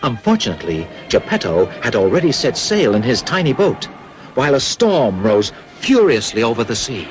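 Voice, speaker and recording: A man narrating a children's cartoon tale from a script, in a dramatic, measured storytelling manner. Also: mid-range voice, very animated, music in the background.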